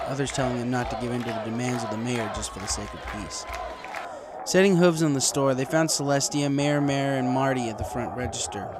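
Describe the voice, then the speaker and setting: Male storybook narrator, medium pitch, scripted read, noticeable noise and ambience behind. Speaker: fan fiction reader. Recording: clear background noise.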